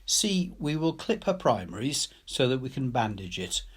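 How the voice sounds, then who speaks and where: Storybook role: tender male recluse, acted by a narrator, husky, soothing, concerned, calmly in charge. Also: medium pitch, normal speed, pitched above the performer's own voice. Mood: kind, concerned. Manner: gentle, reassuring. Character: kind, gentle hunchbacked male recluse painter, softly authoritative.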